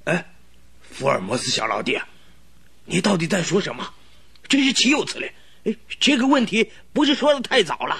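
Haughty old duke, blustering, scolding, bright voice, husky edge, big swings in pitch. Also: male voice, big pitch swings, moderate pace, pauses often, exaggerated voice. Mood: indignant. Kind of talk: radio drama acting.

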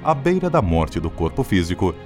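A man's voice: sits low, sing-song, normal speed. A man with a Brazilian accent announcing a radio drama recap in an emphatic, theatrical style. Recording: loud music bed. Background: prominent music.

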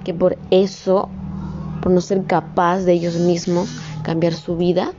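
A woman's voice: medium pitch, warm tone, lo-fi sound, slight background noise. Earnest young woman venting. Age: young adult. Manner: earnest, rambling, rising to emphatic scolding. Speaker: communications student. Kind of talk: personal vlog monologue.

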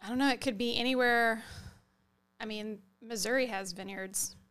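A woman's voice: a little high, fairly even intonation, moderate pace, a bit bright, clear, resonant tone. A woman co-hosting a podcast in casual, conversational chat. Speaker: podcast co-host.

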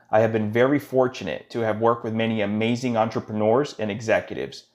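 A man speaking as a podcast host, introducing his show and guest and leading an interview. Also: lowish voice.

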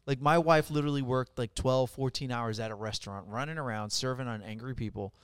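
Middle-aged guy from puerto rico, swears a lot, smooth clear voice, dry close sound. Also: mid-range voice, brisk, no room sound. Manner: blunt, profane, energetic storytelling. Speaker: mortgage lender, guest. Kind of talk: casual podcast interview. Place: office studio.

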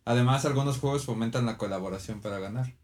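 A man's voice: medium pitch, quick pace, a little mellow. A young man with a Mexican Spanish accent who goes through his points one by one in a casual chat.

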